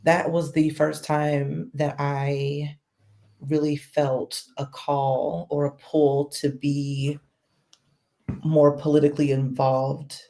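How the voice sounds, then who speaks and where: A woman guest on a podcast interview, talking in a measured, thoughtful way with many fillers. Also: deep voice, flat delivery, mellow. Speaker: guest, political volunteer. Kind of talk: casual interview.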